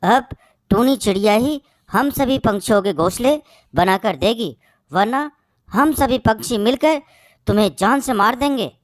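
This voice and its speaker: A woman's voice: on the high side, animated, unhurried, no echo, studio quality. A woman voicing a hostile jungle bird in a cartoon, stern and scolding. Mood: threatening. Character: hostile, superstitious jungle bird, harshly threatening. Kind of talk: Hindi moral-story cartoon acting.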